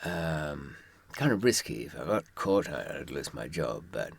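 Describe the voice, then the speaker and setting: An older man with a low voice that swings widely in pitch as he tells his stories. He is a droll, wordy raconteur, handing out advice from experience, recorded close and dry. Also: very animated, a little slow, vocal fry, husky, no room sound. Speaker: author giving advice from experience. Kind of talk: anecdotal storytelling to listeners.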